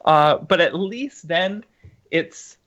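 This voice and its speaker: A woman guest chatting casually on a podcast, halting and searching for words with many fillers. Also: on the low side, fairly bright tone. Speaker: guest gamer and streamer. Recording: dull lo-fi remote audio.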